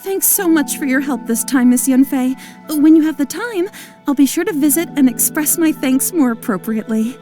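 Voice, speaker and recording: A woman's voice: high-pitched, lively intonation, normal speed, warm tone, faint music behind. A woman voicing a polite, shrewd businesswoman in a video game, speaking formally and courteously. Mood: grateful, businesslike. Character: polite, shrewd young businesswoman from ore-trading family.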